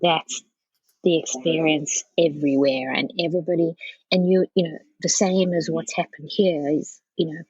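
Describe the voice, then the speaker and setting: A woman from South Africa with a low, slightly rough voice answers as a podcast guest. She talks casually and haltingly, restarting her thoughts as she goes. The sound is a little dull and roomy. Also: fairly even intonation, a little mellow. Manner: halting, circling back with frequent "you know". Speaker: podcast guest, architecture professional. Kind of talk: casual conversational interview.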